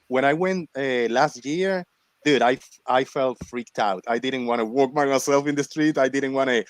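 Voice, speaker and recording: A man's voice: medium pitch, moderate pace, dry recording. Man from Puerto Rico, dry close mic. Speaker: stream host. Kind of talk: casual chat.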